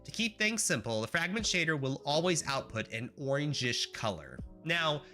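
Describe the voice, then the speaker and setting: A man's voice: a little high, sing-song, moderate pace, pushed and bright, soft background music. A man live-streaming while he codes, chatting casually and rambling with wry asides to his chat, his voice stuffy and nasal from congestion. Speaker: livestreamer learning graphics programming.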